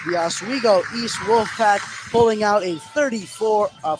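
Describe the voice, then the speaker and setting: A man doing live play-by-play basketball commentary as a radio sports announcer. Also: high-pitched. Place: indoor basketball gym, live radio broadcast. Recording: clear background noise, live gym ambience.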